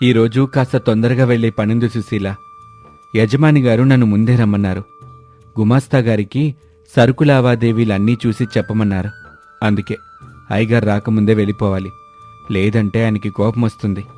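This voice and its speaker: An actor playing a modest, dutiful middle-class husband in a moral story. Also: male voice, on the low side, fairly even intonation, normal speed, mellow, faint music behind, natural voice. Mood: hurried, anxious.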